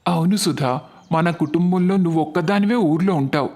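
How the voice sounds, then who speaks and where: A man playing a wealthy, generous brother-in-law from the city in an animated family story, earnest and assured. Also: a little high, a little fast, natural voice. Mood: casual, hopeful.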